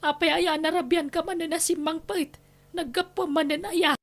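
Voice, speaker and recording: A high, plaintive voice carries this long-suffering Ilocano wife and mother played by a woman. She is anxious and pleading, in the style of a family radio drama. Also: steady tone, normal speed. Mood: worried, pleading.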